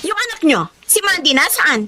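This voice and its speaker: An actress playing a brash, demanding young girlfriend in a Filipino radio drama, loud and insistent. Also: very high voice, very animated, moderate pace, a little hiss or hum, full character voice. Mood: defiant. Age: young adult. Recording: loud music over the voice.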